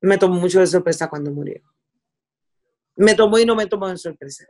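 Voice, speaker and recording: A woman's voice: fairly low pitch, fairly quick. Puerto Rican woman recalling her reporting days. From Puerto Rico. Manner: mixes English words into Spanish. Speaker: interviewee, veteran television journalist. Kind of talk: conversational recollection in an interview.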